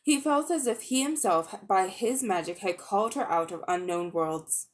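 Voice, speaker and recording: A woman reads a story aloud in a mid-range voice. Her narration is expressive and dramatic, in the manner of a children's audiobook. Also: medium pitch, moderate pace. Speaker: audiobook reader.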